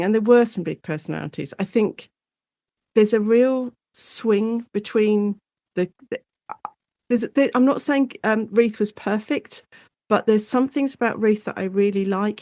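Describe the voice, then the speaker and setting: A woman speaking as an academic guest in an informal interview, explaining things in an animated way and acting out short quotes. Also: mid-range voice, no room sound, studio quality. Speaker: guest academic, Shakespeare and radio drama specialist.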